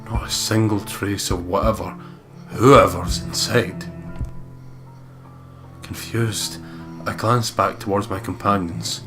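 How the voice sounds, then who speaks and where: A very low male voice delivers dramatic first-person narration, reading from a visual novel. The pitch stays in a narrow band, and loud music plays underneath. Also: steady tone, takes it slowly. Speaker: let's play host reading a visual novel aloud. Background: loud game music.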